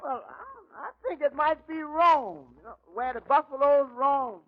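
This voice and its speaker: An actor playing a mild, hesitant tavern employee with dry remarks in a 1940s radio comedy. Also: male voice, very high voice, animated, unhurried, exaggerated voice. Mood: doubtful. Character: mild, doubtful male tavern employee who deflates the boss. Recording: noisy, muffled 1940s radio broadcast.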